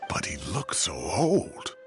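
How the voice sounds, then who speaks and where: An actor playing a grumbling, theatrical elderly professor in a video game, exasperated and expressive. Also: male voice, fairly low pitch, takes it slowly, music in the background, full character voice. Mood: surprised. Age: older.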